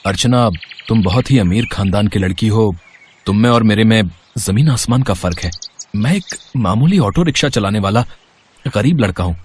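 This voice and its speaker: A man's voice: on the low side, moderate pace, a little mellow, a little hiss or hum, natural voice. A man playing a humble, considerate young auto-rickshaw driver in an acted storybook dialogue. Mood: serious, pleading.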